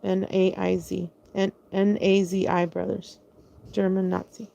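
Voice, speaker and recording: A woman's voice: medium pitch, level tone, unhurried, creaky, mellow. A woman telling her own story in a casual, rambling monologue, hesitating often, restarting sentences and spelling out words letter by letter.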